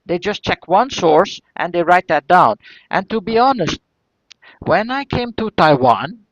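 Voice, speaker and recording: A man with a Flemish accent speaks in a notably high voice, caught in dull, dry lo-fi audio. He is an academic fielding seminar questions, often circling back over his words and asking rhetorical questions as he goes. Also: animated, unhurried, no room sound. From northern Belgium. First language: Flemish Dutch. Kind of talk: answering audience questions at an online seminar.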